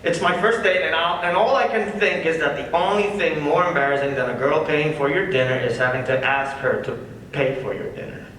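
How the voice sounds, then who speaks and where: A man's voice: on the high side, brisk, a bit bright, lightly acted. A young man playing a self-mocking stand-up comedian in a scripted stage routine. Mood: wry, joking. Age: young adult. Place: large echoey room, live event. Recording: echoey room, distant mic, faint noise.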